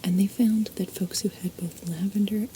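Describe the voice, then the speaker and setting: A woman whispering softly in an ASMR video, chatting and wandering off on tangents as she explains things. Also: mid-range voice, steady tone, moderate pace, slight background noise, low-quality recording. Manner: soft unvoiced whisper, chatty and digressive. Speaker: ASMR host.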